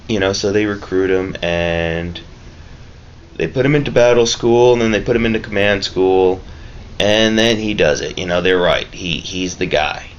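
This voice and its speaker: A man talking casually and haltingly in a laid-back, rambling vlog review. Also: low-pitched. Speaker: vlogger reviewing a film. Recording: dull lo-fi mic with clear background noise.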